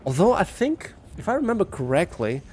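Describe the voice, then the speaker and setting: A man's voice: high voice, big pitch swings, brisk, darkish tone. A man from Lithuania with a Lithuanian accent, a game streamer giving casual, rambling and somewhat hesitant commentary and chat. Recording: music behind the voice. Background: music.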